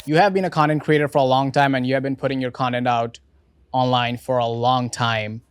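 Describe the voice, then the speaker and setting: A man's voice: mid-range voice, fairly even intonation, brisk, soft background music. A man hosting a podcast, asking long probing questions with stammered restarts. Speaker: podcast host. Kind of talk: interviewer questions.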